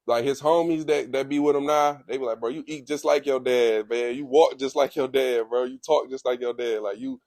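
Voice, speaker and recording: A young man with a Detroit accent, telling stories about his upbringing in a laid-back, slang-heavy way, with a low, calm voice. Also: a little fast, hardly any pauses, no room sound. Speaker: guest, comedian. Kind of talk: casual interview chat.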